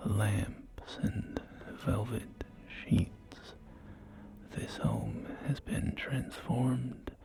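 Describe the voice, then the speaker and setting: A man playing a polite, salesy post-apocalyptic real estate agent in a whispered ASMR roleplay, with hesitant, soft-spoken patter. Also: fairly low pitch, gravelly, exaggerated voice. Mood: suggestive, sly. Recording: close whisper under loud music. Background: loud music.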